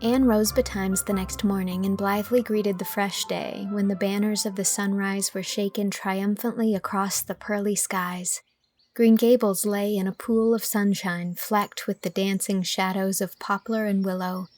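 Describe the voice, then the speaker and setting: A woman narrating a literary audiobook, telling the story in a measured, expressive way from a script. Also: medium pitch, dry recording, soft background music.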